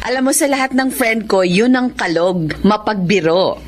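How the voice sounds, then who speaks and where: A woman's voice: mid-range voice, animated, normal speed, noisy background, natural voice. A woman acting a chatty, breezy matchmaking friend in a Tagalog radio drama. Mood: breezy, eager.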